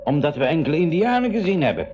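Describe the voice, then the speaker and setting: An actor playing an enthusiastic, emphatic professor and expedition captain in a school radio play. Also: male voice, fairly high pitch, very animated, fast talker, music in the background. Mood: indignant, jovial. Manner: exclamatory, emphatic. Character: enthusiastic adult professor, proud captain of his craft. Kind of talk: 1980s Dutch-language school radio play acting. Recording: noisy, dull 1980s radio recording.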